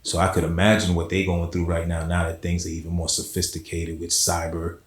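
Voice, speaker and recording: A man's voice: sits low, monotone, fairly quick, echoey room. A man, a poet and spoken word artist, talking casually as an interview guest with a laid-back, rambling manner full of fillers. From South Florida.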